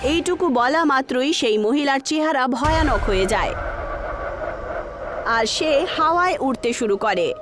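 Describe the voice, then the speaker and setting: A woman's voice: high voice, lively intonation, unhurried, noisy background. A woman reading scripted narration for a horror story.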